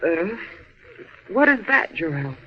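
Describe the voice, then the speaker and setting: A woman playing a gentle, anxious alien wife and mother in an old-style radio drama, earnest and questioning. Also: fairly low pitch, very animated, slow pace. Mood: puzzled, earnest. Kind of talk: 1940s radio drama acting. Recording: muffled, hissy old radio recording.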